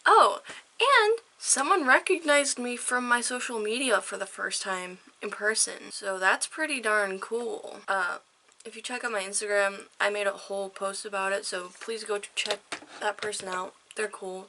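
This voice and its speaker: A woman with a slightly high voice, hoarse from a weekend away, chats loosely to her viewers. She rambles from one thought to the next with fillers, restarts and small laughs. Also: bright, forceful tone. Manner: rambling, chatty, laughs often. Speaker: vlogger and interviewer. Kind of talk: casual vlog chat.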